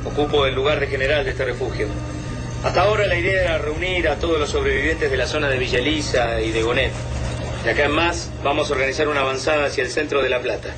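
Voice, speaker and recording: An actor playing a brisk, authoritative leader of a survivors' refuge in an Argentine radio drama. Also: male voice, mid-range voice, music in the background, natural voice. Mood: firm, welcoming. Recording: noisy radio drama sound bed.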